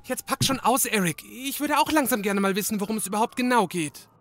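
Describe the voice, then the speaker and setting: High-voiced, excitable assistant. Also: male voice, brisk. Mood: impatient. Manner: excitable, chatty. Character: excitable, impatient male detective's assistant. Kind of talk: comedy audio drama acting.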